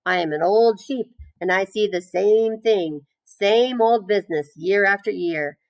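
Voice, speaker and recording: A woman's voice: medium pitch, unhurried, dry recording, close to an everyday voice. A woman voicing a world-weary, gossipy old ewe in a storybook, grimly matter-of-fact and knowing.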